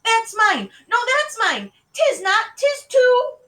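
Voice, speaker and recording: A woman's voice: sits high, wildly swooping pitch, unhurried, bright, forceful tone, voice pushed up, full character voice. A woman voicing a panicky, quarrelsome young girl in a children's storybook, squealing and whining urgently. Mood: bickering. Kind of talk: children's storybook read aloud.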